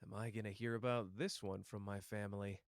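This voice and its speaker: A man's voice: fairly low pitch, flat delivery, brisk, long reverb tail, lightly acted. A man playing a cocky, protective young sorcerer and clan head in a roleplay audio drama, taunting and sardonic in casual slang. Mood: subdued, tender. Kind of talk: character roleplay audio drama addressed to the listener.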